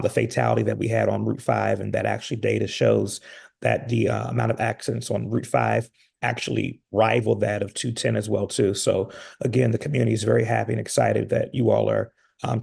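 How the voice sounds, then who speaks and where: A man speaking to a committee while losing his voice, raspy and hoarse, earnest, with frequent fillers. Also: fairly low pitch, darkish tone. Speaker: legislator. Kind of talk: formal remarks to a committee.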